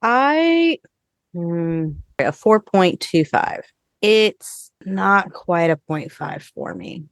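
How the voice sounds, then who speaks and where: A woman hosting a podcast, chatting casually with co-hosts in an informal, expressive way with some swearing. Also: lowish voice, extremely slow, dry recording, clean studio sound. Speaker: podcast host. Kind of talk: casual review chat with co-hosts.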